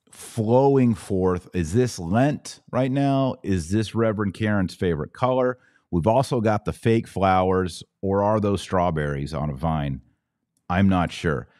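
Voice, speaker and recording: Deep-voiced man hosting a commentary podcast. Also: darkish tone, dry recording, clean studio sound. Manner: didactic, explanatory, informal asides. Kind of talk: casual commentary, explaining to an online audience.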